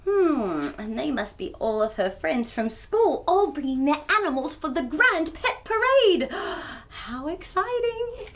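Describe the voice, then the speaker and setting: Very high-pitched, animated woman reading to children. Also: unhurried. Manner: expressive, theatrical, with gasps and exclamations. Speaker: children's storytime host. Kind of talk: animated picture book read-aloud with asides to young viewers.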